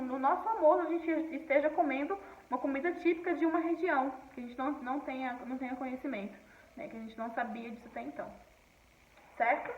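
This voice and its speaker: A woman's voice: high voice, reverberant, far from the mic, lo-fi sound. A woman teacher from Paraíba, now speaking with a Brasília accent, explaining a textbook lesson to her class and often checking in with "tá bom?". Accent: Brasília Portuguese. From Paraíba, Northeast Brazil.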